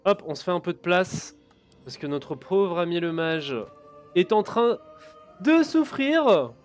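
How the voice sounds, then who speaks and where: A man hosts a let's play, giving relaxed, chatty commentary as he plays and talking to himself as he goes. His voice sits a little high, with faint music behind him. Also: slow pace. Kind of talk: casual gameplay commentary.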